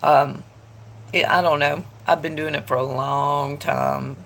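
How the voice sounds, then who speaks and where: A woman's voice: deep voice, steady tone, unhurried, creaky, a bit bright, slight background noise. A woman, stuffed up from allergies, chatting casually with viewers on a tarot live stream. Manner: chatty, rambling, greets viewers by name. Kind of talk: casual live-stream chat reading comments aloud.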